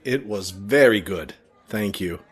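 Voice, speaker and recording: Man as a husky, expressive young manor heir. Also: lowish voice, takes it slowly, heavily acted. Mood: polite. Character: frank, courteous male heir to a manor. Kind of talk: radio play acting.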